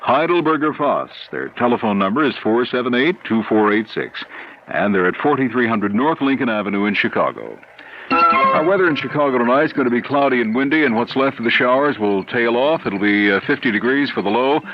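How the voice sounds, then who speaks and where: A man's voice: medium pitch, expressive, a little fast, slight background noise. An American man reading local announcements in the practised style of a radio station announcer. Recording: dull 1970s AM radio, music behind.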